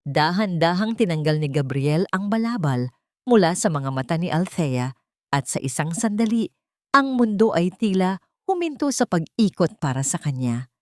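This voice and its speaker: A woman narrates a dramatic story in a lowish voice with very lively, swooping intonation. The recording is dry and close, with the delivery clearly scripted. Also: normal speed, no echo.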